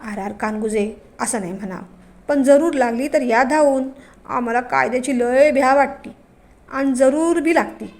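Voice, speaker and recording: Woman playing older village chief: loud, scheming, mocking, high. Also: moderate pace. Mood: commanding.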